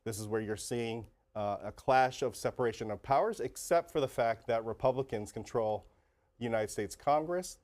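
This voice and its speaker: A man working as a congressional reporter, breaking down politics on a morning TV show. His voice sits at a mid pitch, and he explains at an even, conversational clip with some restarts. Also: normal speed. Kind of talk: explanatory TV interview answer.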